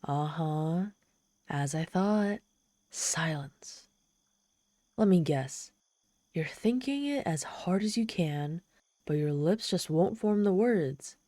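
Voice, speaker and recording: A woman's voice: low voice, expressive, takes it slowly, pauses often, mellow, no echo, studio quality. A woman voicing a proud, lonely, trapped female demon in an ASMR roleplay, sardonic and exasperated with a pleading, vulnerable streak. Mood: smug. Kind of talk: ASMR roleplay monologue, dramatic storytelling.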